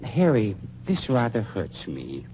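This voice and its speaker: A man's voice: fairly low pitch, huge pitch swings, mellow, exaggerated voice. A man playing a silky scheming undertaker. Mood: unctuous. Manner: unctuous, falsely polite, with drawn-out pauses. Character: oily, smooth-talking male undertaker and blackmailer. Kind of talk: 1940s radio acting. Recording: dull lo-fi 1940s radio recording, faint hiss.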